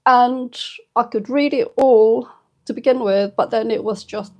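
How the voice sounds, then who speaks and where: A woman in her fifties chats with her viewers in an unhurried, fairly high voice. She sounds emotional and a little teary, and her soft, hesitant delivery is full of pauses and fillers. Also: fairly even intonation, highs cut off. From United Kingdom. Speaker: channel host. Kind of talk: casual live-stream chat with viewers.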